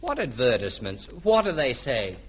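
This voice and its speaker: A man's voice: medium pitch, huge pitch swings, moderate pace, slightly rough. A man playing an exasperated, spluttering father in an old-time radio comedy. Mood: grumbling. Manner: spluttering, stammering indignation. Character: exasperated, blustering family father, easily flustered. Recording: very noisy old radio recording.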